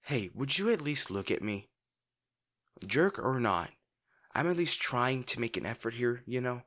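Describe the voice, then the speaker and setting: A young man playing a brash teenage bully with a secretly caring side in a dramatic audio roleplay, speaking gruffly with a husky, breathy voice, swearing and sighing. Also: medium pitch, big pitch swings, a bit bright. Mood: exasperated, concerned. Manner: gruff, swearing, sighing, halting when upset.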